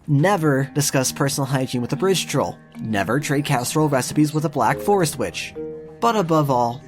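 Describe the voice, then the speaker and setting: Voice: medium pitch, expressive, moderate pace, natural voice. A performer playing a harried young male clerk in an audio drama, courteous, deferential and a little wry. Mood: polite, wry. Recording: music behind the voice.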